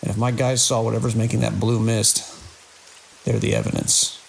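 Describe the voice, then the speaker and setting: A man acts out a grim security chief in a survival horror audio drama. His voice is deep and crackles with gravel as he speaks tersely and gravely, like someone keeping an official log under pressure. A faint hiss like rain sits behind him. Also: little pitch movement, moderate pace. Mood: grim, bitter. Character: grim, embittered male security chief of a research facility.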